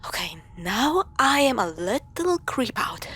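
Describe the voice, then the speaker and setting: A woman playing a caring, playful young girlfriend in a spooky audio roleplay, talking straight to her partner in halting conversational phrases with sighs. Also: on the high side, very animated, a bit bright, husky, full character voice. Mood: uneasy. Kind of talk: spoken roleplay to the listener.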